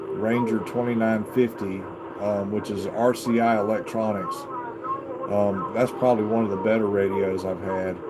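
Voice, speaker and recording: A man chatting casually in a rambling, folksy way as he hosts a live video from his basement radio room. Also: fairly low pitch. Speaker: live stream host, CB radio hobbyist. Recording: very noisy home live stream.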